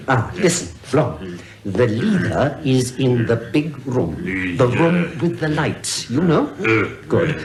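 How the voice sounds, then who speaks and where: A man's voice: on the high side, echoey room, full character voice. An actor playing an uneasy, conspiratorial villain's henchman who gives emphatic orders in an old radio serial. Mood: urgent, instructing. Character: uneasy male henchman called the Professor, bossing a dim brute. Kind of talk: 1940s-style radio serial acting.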